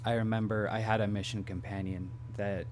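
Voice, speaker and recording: A hoarse man hosting a podcast from home, talking casually and reflectively and asking questions. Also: on the low side, level tone, brisk, darkish tone, slight background noise. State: hoarse, clearing his throat. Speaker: podcast host. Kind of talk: casual conversation.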